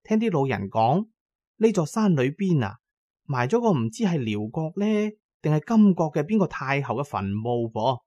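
A man's voice: on the high side, very animated, moderate pace, mellow, dry recording, dull audio. A man voicing a young village woman who tells eerie local lore in a folksy way, in an audio drama.

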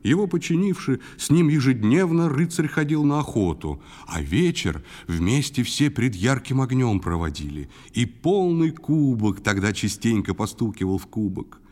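A man reading a poetic tale as an audio-play narrator, expressive and lyrical. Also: medium pitch, moderate pace, hardly any pauses. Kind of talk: dramatic verse narration, audio storytelling. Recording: music under the voice. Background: guitar and instrumental music.

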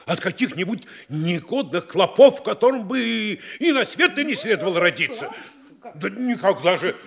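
An actor playing a sly, fawning middle-aged provincial mayor in a classic Russian stage comedy, gushing obsequiously and muttering scheming asides. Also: male voice, high voice, sing-song, normal speed, gravelly, exaggerated voice. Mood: ingratiating, hesitant.

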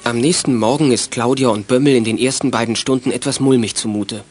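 A man's voice: medium pitch, fairly even intonation, a little fast, slightly rough, soft background music, lightly acted. A man acting as the narrator of a children's radio play, reading a scripted youth detective story. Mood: measured storytelling.

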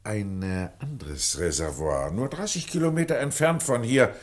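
Low-voiced, earnest waterworks official played by a man. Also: moderate pace, close to an everyday voice. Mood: puzzled, earnest. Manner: earnest, explanatory, hesitant. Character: worried male waterworks official, polite, explanatory. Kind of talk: German radio play acting.

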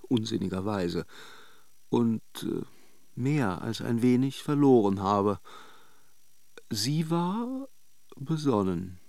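An actor playing a worldly, ironic middle-aged man who recalls an affair in a radio drama, with deliberate hesitations. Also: medium pitch, sing-song, creaky, darkish tone, no room sound, studio quality, full character voice. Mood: wistful, wry. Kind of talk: radio drama monologue, narrated as a confession.

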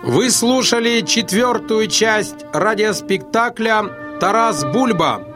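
A male announcer with a high-set voice reads out radio play credits. The delivery is formal and slow with lively intonation, over clear background music. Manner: measured, formal listing.

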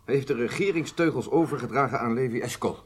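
An actor playing an earnest, reluctant male intelligence chief in a radio drama, grave, emphatic and deliberate. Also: mid-range voice, breathy edge, lightly acted. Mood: sombre.

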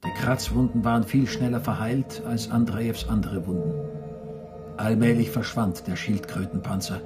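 An actor narrating prison camp life in a sober, measured, literary radio drama style. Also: male voice, lowish voice, steady tone, lightly acted. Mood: sober, grave. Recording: studio voice over guitar music.

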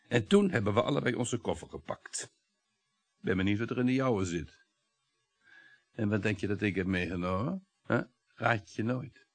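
A middle-aged husband in a radio drama, domineering and uneasy. His pitch moves in wide, lively swings as he needles and mocks. He talks on and on, with a sardonic edge. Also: vocal fry, heavily acted. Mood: brooding. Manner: mocking, talkative, sardonic chuckles. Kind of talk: Dutch radio play acting.

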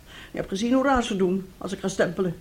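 A woman's voice: medium pitch, fast talker, close to an everyday voice. A middle-aged actress playing a bossy, scheming, self-pitying mother who coaxes and scolds in a radio play.

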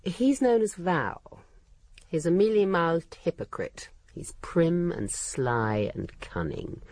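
An actress playing a shrewd, gossipy housekeeper in a radio drama, with an educated English accent and a poised, dry, cutting manner. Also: female voice, on the low side, animated, slow, a little mellow, no echo, heavily acted. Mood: scornful. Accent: English, educated upper-middle-class.

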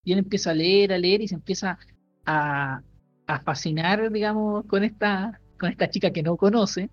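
A man co-hosting a podcast, casually recommending a book in a halting way, full of fillers and restarts. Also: high-pitched, muffled recording, soft background music. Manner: halting, many fillers and restarts while explaining. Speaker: podcast co-host.

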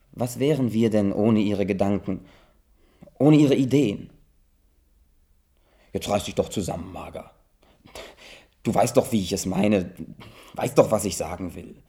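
An actor in a radio drama playing an earnest, rationalizing friend, a husky-voiced man who soothes yet insists. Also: on the low side, dark tone, full character voice. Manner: insistent, persuading.

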